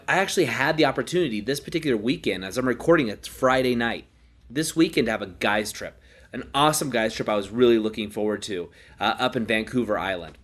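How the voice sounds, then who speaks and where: A man's voice: lowish voice, a bit bright. A stuffed-up man with a cold, hosting a casual self-help talk in a hotel room and rambling with many restarts. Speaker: podcast host.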